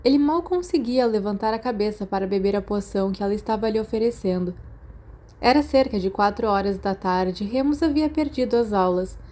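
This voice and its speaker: Brazilian woman reading an audiobook, soft mellow tone, expressive storytelling. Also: medium pitch, fairly quick. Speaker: audiobook narrator.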